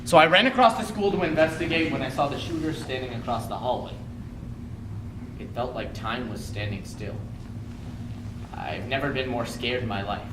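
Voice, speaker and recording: A young man playing an earnest, protective high school principal in an emphatic readers theater performance. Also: moderate pace, lightly acted. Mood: tense, hushed. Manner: emphatic, dramatic. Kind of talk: readers theater stage delivery. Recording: roomy live stage, distant mic, faint noise.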